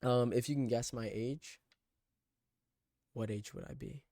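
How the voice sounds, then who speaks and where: A man's voice: mid-range voice, steady tone, a little slow, warm tone, dry recording. Rambling twenty-year-old man, dry close sound. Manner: self-deprecating, loose and digressive. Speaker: vlogger documenting a personal challenge. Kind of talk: rambling casual screen-share monologue.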